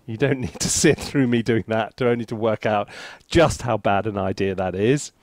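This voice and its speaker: A man's voice: lowish voice, normal speed, no room sound, clean studio sound. A man giving a casual think-aloud music tutorial in a playful, self-deprecating, chatty way. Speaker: composer presenting a music software tutorial and review. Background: orchestral sample music.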